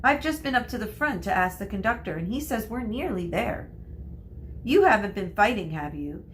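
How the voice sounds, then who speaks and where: A woman's voice: mid-range voice, sing-song, normal speed, slight background noise, pitched above the performer's own voice, natural voice. A woman voicing a bossy schoolgirl in a storybook, prim and scolding, with a sniffy tone.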